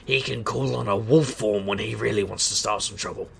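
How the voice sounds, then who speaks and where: An actor playing a wisecracking private eye and street magician, narrating an audio drama in a sardonic, gravelly way. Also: male voice, lowish voice, brisk, fairly bright tone, slight background noise. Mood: wry. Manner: sardonic, wry. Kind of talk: noir-style first-person narration and dialogue in audio drama.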